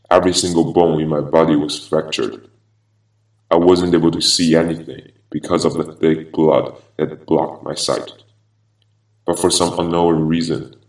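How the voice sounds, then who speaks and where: Guy doing a really low menacing half-machine villain, slow grave horror game monologue, echoey and kinda far off. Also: gravelly, exaggerated voice. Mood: bitter, brooding. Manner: grave, deliberate, ominous delivery. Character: Vincent, vengeful half-machine former attorney, brooding and menacing. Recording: echoey, distant-sounding voice.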